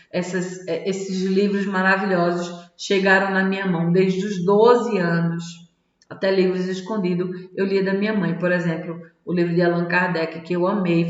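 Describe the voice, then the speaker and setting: A woman who coaches tarot and personal development, reading a self-help book aloud and commenting on it in a chatty way, often adding little "tá?" and "né?" tags. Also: medium pitch. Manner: chatty, peppers sentences with "tá?" and "né?" tags. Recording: echoey room with long reverb, dull lo-fi sound.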